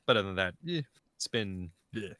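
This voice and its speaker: A man, guesting on a podcast with a bright, tense voice. His recording is dry and close. He rambles with lots of pauses. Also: low voice, expressive, no echo. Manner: hesitant, rambling. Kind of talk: casual podcast chat.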